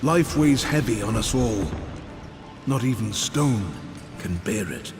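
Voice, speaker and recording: An actor playing a weary, solemn old mentor in a fantasy video game, with measured speech. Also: male voice, lowish voice, expressive. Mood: solemn, explaining. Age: older. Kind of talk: video game character acting. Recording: long reverb, music behind.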